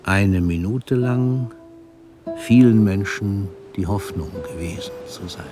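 An actor playing a celebrated older male poet who reflects solemnly in a measured, declamatory radio play monologue. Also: fairly low pitch, over music. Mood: solemn, moved.

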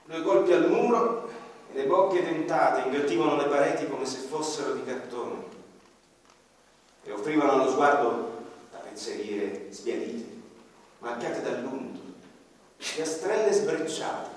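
A man's voice: medium pitch, lively intonation, a little slow, long pauses, natural voice. Reflective ordinary man recalling his lost home, played by a male actor: stage monologue in a big reverberant hall, mic at a distance, faint noise. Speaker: first-person narrator in a radio play. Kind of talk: stage radio-theatre monologue. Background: violin music.

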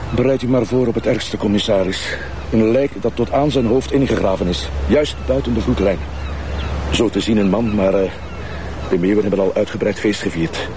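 Actor as a low-voiced dutiful Flemish policeman. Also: moderate pace, close to an everyday voice. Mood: dutiful, factual. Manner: factual, deferential. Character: dutiful, deferential policeman reporting to his commissioner. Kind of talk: naturalistic TV crime drama acting. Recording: noisy outdoor location sound.

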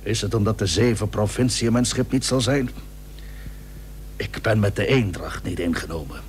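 An actor playing an ailing, pious older admiral in an old radio drama, speaking in a solemn, halting, emphatic manner. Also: male voice, lowish voice, natural voice. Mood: solemn, resigned. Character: ailing, dutiful, pious seventeenth-century Dutch admiral, resigned to death. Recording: old radio recording with faint hum.

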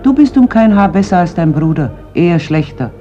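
A woman's voice: on the low side, expressive, fairly quick, warm tone, soft background music, natural voice. An actress playing a stern, reproachful middle-aged mother of a poor fishing family in a radio play. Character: stern, weary forty-year-old fisherman's mother, wary of war. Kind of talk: stage-like radio drama acting. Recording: muffled old radio recording, clear hiss.

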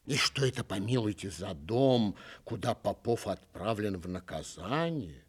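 A man's voice: on the low side, animated, unhurried, breathy edge, pitched below the performer's own voice. A man voicing a skeptical, self-righteous critic, fussy and indignant, in theatrical verse recitation. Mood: disapproving. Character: skeptical, disapproving, self-righteous reader grumbling objections.

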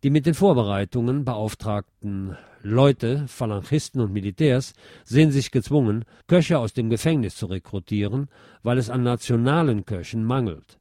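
Mellow-voiced man reading a film review. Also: mid-range voice, moderate pace, no room sound, clean studio sound. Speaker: film critic and radio show presenter. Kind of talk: scripted film review narration.

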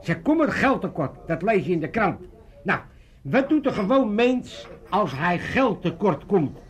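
A man's voice: high-pitched, big pitch swings, measured pace. An actor playing a blustering, muddled older father and amateur party chairman in a comic radio drama, often repeating himself. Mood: scheming, self-assured.

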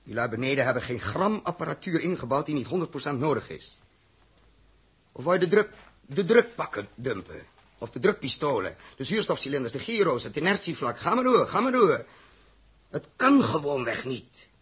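An actor playing a stern, rational spaceship commander in a 1960s radio drama, speaking deliberately and with authority. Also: male voice, a little high, big pitch swings, fairly bright tone, slightly rough, exaggerated voice. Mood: stern, insistent.

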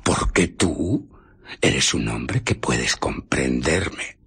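An actor playing an embittered, menacing invisible scientist in a Spanish radio drama, with a Castilian accent, husky breath and intense, halting emphasis that sinks into whispers. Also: male voice, very deep voice, very animated, a bit bright, exaggerated voice. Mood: confiding, suspicious.

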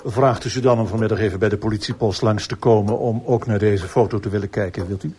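An actor playing a courteous, persistent police inspector in a radio drama, speaking in a formal, measured way. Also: male voice, on the low side, fairly even intonation, warm tone, breathy edge. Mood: polite, insistent. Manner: formal, polite, measured questioning.

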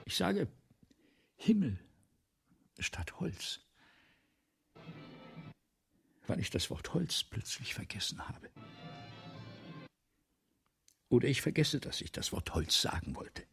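An actor playing a vain, ailing, forgetful old writer in a radio play, with a theatrical, pompous, halting manner and a breathy voice that often drops toward a whisper. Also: male voice, mid-range voice, huge pitch swings, soft background music, heavily acted. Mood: confused, faltering. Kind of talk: 1970s German radio play acting.

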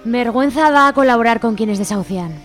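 A woman's voice: a little high, a little fast. A woman with a Spain Spanish accent co-hosting a radio show and reading a scripted political editorial. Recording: music bed behind the voice. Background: music.

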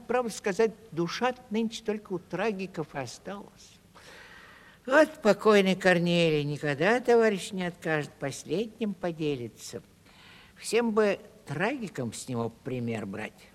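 A cheeky, plaintive vagabond comic in a 1970s stage play for audio. His voice sits high and jumps about a great deal as he spins out his words. The recording has a long, hall-like reverb. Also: normal speed. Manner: animated, plaintive storytelling.

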